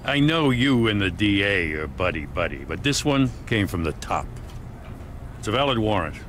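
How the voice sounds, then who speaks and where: An American actor playing a middle-aged, dutiful police officer in a video game, measured and matter-of-fact. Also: male voice, lowish voice, sing-song, fairly bright tone, a little hiss or hum. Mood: matter-of-fact, grave. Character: plain-spoken, dutiful police officer serving a warrant. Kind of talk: dramatic game dialogue.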